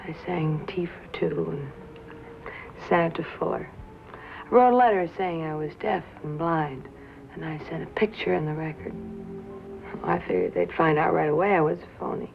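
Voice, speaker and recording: An actress playing a tough, bitter young nightclub singer who drinks, with an American accent and a sardonic, drawling delivery, in a 1950s film drama. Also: female voice, deep voice, moderate pace, creaky, faint music behind. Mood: wry, bitter. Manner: sardonic, drawling, dwelling on and repeating words. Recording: tinny, hissy 1950s film soundtrack.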